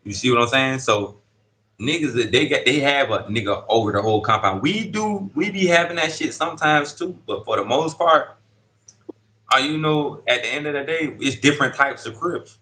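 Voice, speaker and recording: A man in his thirties speaks from a prison dorm with a bright, forceful voice, talking in street slang and often checking that the listener follows him. Faint ambient noise sits behind him. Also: mid-range voice, expressive, moderate pace, poor audio. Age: 30s. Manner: street slang, keeps asking "you see what I'm saying". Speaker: incarcerated interviewee. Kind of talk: casual conversational storytelling.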